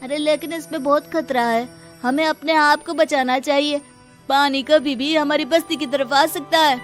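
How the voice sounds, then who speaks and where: A woman's voice: high voice, steady tone, moderate pace, soft background music, pitched above the performer's own voice, lightly acted. A woman voicing a worried, cautious slum neighbour man with urgency in a dramatized story. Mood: fearful.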